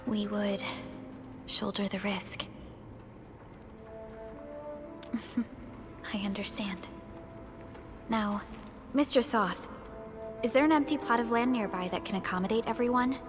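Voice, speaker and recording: An actress playing a composed, reassuring female mission advisor in a video game, speaking in a measured, formal way. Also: medium pitch, lightly acted. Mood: calm resolve. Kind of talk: dramatic video game voice acting. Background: game music.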